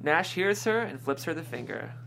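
Woman reading stage directions, lively pitch. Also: normal speed, vocal fry, a little hiss or hum, rough recording. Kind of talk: scripted narration at a comedy table read.

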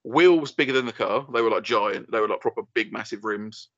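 A man's voice: lowish voice, fairly quick, a bit bright, dry recording. A young man co-hosting a podcast, telling rambling, sweary stories and laughing often. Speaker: podcast co-host. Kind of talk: casual banter. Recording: dull lo-fi dry sound.

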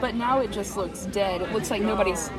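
A woman's voice: medium pitch, a little fast, loud music behind the voice. A young woman chatting casually and playfully on a vlog, rambling with jokes and giggles while outdoors in a city. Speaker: vlogger. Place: outdoors in a busy urban area. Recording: crowd noise, distant mic.

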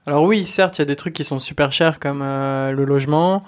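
Guest, man, light higher voice, calm explainer. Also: a little fast, smooth. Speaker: podcast guest. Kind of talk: casual chat.

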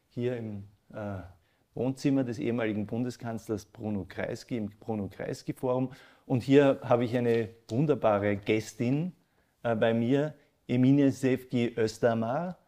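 A man hosting a live literary talk, speaking in a halting, searching way. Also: mid-range voice, dark tone. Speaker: interview host. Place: living room of a former residence, indoors.

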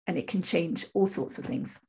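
A woman in her fifties with a husky, creaky voice, earnestly telling her personal story as an interview guest. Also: on the low side, moderate pace, dark tone. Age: 50s. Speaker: podcast guest, spiritual teacher.

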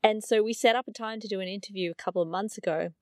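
A woman hosting a podcast, introducing an episode in an earnest, chatty way with many fillers, her voice a little raspy and nasal. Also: medium pitch, racing, no room sound, studio quality. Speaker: podcast host.

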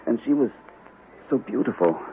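An actor playing an earnest, troubled shell-shocked army captain in a radio mystery, speaking hesitantly and as if haunted. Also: male voice, mid-range voice, lively intonation, moderate pace, natural voice. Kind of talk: old-time radio mystery acting. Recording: tinny band-limited vintage radio, faint hiss.

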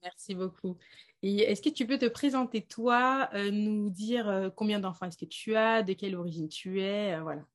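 A woman hosts a relaxed interview and asks her questions in a hesitant way. She fills her pauses with frequent hums as she goes. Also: mid-range voice. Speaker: interview host. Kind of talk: casual interview.

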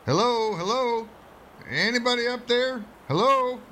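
A man's voice: extremely high pitch, unhurried, bright, forceful tone, slight background noise, full character voice. A man playing a stern, urgent small-town doctor in old-time radio horror style, commanding and dramatic. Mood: calling out.

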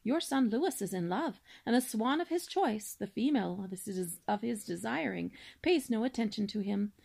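A woman's voice: medium pitch, expressive, moderate pace, creaky, darkish tone. A woman voicing a practical, dry-witted mother swan. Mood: fretful. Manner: dry, matter-of-fact.

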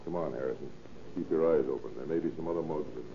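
A man's voice: very deep voice, fast, warm tone. A man playing a shrewd American police inspector with clipped, matter-of-fact questions in an old-time radio crime drama. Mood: wry, coaxing. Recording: tinny, noisy old radio recording.

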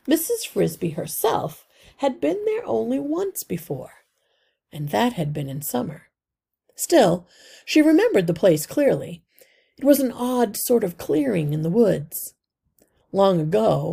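A woman reading a children's novel aloud as scripted narration, in a careful, expressive storytelling manner. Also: lowish voice, gravelly, breathy edge.

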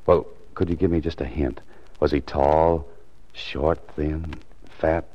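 A man's voice: extremely low pitch, flat delivery, slow, exaggerated voice. A man playing a terse, brooding author-detective who narrates his own case, with an American accent, in 1940s radio noir style. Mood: probing. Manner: terse, self-questioning. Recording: muffled 1940s radio recording, music behind.

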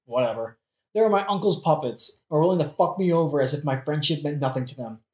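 A woman's voice: deep voice, fast, a little mellow, natural voice. A woman playing a sarcastic, melodramatic young prince in a modern comedic audio play, with theatrical delivery. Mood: sardonic. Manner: theatrical, sardonic. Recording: roomy echo.

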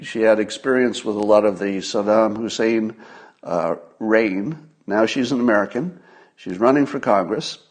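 Give me a man with a fairly deep, husky voice doing casual, emphatic political commentary. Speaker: host of a commentary livestream. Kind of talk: casual solo political commentary to a live audience.

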